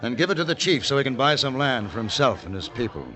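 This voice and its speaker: A man playing a suave, self-assured gentleman gunfighter in a 1950s radio western, with a smooth, courtly, dry delivery. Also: medium pitch, sing-song, fast. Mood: calm, firm. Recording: vintage radio drama recording.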